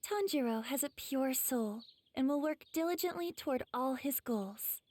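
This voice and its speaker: A woman playing a gentle, polite young female demon slayer mentor in an anime game, soft-spoken with a teasing sweetness. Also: high voice, animated, moderate pace. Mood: earnest, respectful.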